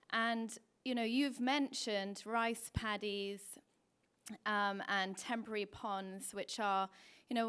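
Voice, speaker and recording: Woman in the audience asking a question at a conference, smooth clear voice, chatty. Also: medium pitch, a bit bright. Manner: conversational, fillers. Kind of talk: conference Q&A comment. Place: conference breakout room.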